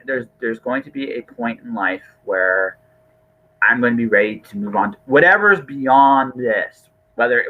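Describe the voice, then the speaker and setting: Man, podcast host, casual conversation, raspy edge, earnest rambling delivery, thin band-limited call audio. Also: medium pitch, phone-quality sound. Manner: rambling, earnest, often repeats and restarts phrases.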